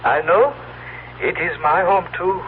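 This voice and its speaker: An actor playing a calm male visitor from the future in a 1970s radio drama, speaking in formal, halting, measured phrases. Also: high-pitched. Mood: solemn. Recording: noisy, tinny vintage radio recording, humming.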